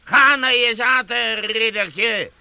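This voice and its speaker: Vagabond chieftain: high-pitched, gravelly, boisterous and pompous, theatrical radio drama delivery. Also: male voice, slow pace, pushed and bright, no echo, heavily acted. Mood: taunting. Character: boisterous mocking one-eyed vagabond chief, self-styled duke. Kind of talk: Dutch radio play acting.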